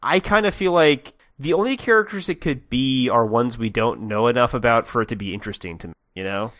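A man's voice: mid-range voice, a little fast, a bit bright, dry recording, studio quality. A man co-hosting a podcast, rambling playfully through his theories in casual conversation. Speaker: podcast co-host.

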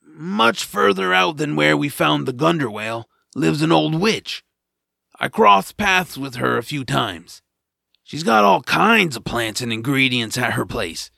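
Grumpy sarcastic swamp creature: heavy gravel, bright forceful edge, reluctant hero of a fantasy audio drama. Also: male voice, on the high side, expressive, measured pace, dry recording, studio quality, heavily acted. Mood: grumbling. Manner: blunt, sarcastic, grumbling. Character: grumpy nap-loving male swamp creature, gruff reluctant rescuer.

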